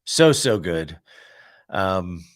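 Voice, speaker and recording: Low-voiced man with a cold, reading devotions. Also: extremely slow, dry recording. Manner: relaxed and conversational, musing aloud between passages. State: under the weather with a cold. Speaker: host reading a daily devotional. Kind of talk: devotional reading with casual commentary.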